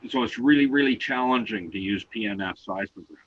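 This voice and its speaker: A man with a loud voice, co-hosting an online talk show and patiently explaining things step by step. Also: fairly low pitch, brisk, muffled recording, a little hiss or hum. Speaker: co-host explaining seismograms.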